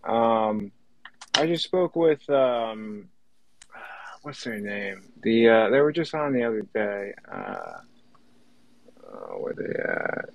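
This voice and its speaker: Slow, halting man on dull audio. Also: lowish voice, wildly swooping pitch, gravelly, dry recording. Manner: halting, searching for words. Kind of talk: casual chat. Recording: dull lo-fi online call audio.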